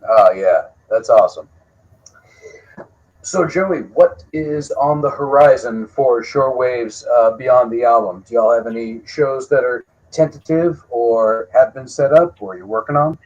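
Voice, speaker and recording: A man's voice: mid-range voice, a little slow, a little mellow. Male co-host interviewing through dull audio. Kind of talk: casual interviewing.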